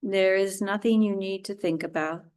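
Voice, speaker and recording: A woman teaching yoga nidra, guiding a meditation in a soothing, unhurried way with short, spaced phrases. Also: medium pitch, steady tone, darkish tone, smooth. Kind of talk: guided relaxation meditation.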